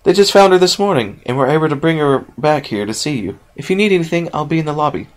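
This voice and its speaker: Kind polite female pony receptionist as a man puts her on, friendly, raised pitch. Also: lively intonation, fast, lightly acted.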